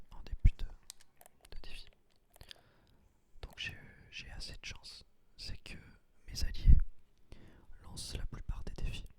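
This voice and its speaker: A man whispering a gameplay commentary in ASMR style, explaining things in an unhurried, hesitant way. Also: a little mellow. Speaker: gaming video creator.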